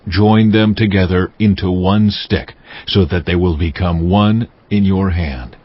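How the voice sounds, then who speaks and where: An actor playing God in a dramatized scripture reading, speaking solemnly and deliberately, in a commanding, declamatory manner. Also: male voice, low-pitched, steady tone, breathy edge. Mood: solemn, authoritative. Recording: dull muffled audio with music behind. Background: music.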